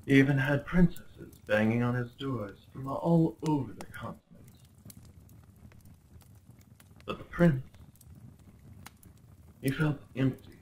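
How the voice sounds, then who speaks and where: A man's voice: mid-range voice, unhurried, darkish tone, close to an everyday voice, low-quality recording. A man playing a smug, possessive crown prince in a roleplay audio drama, speaking with formal, condescending politeness and theatrical flair. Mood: wistful storytelling. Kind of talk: roleplay ASMR acting.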